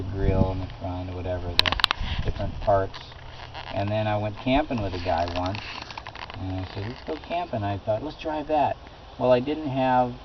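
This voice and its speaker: A middle-aged American man chatting casually, telling anecdotes in an informal, rambling way. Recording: dull lo-fi recording with loud rumbling noise.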